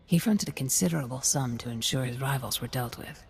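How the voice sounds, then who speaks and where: A sinister, courteous envoy in a video game. His voice is very raspy and creaky, his phrasing formal and measured, ringing in a long hall reverb. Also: mid-range voice. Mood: smooth diplomacy. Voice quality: very husky, creaky. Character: courteous, sinister servant messenger of a noble house.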